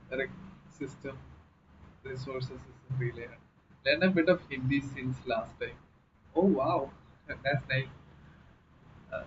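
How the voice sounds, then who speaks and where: A young man with a Malayalam accent, chatting casually with viewers on a live stream from a small room at home. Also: mid-range voice. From Kerala, India. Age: young adult. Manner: chatty, laughing, reading chat aloud. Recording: slightly dull audio with faint noise.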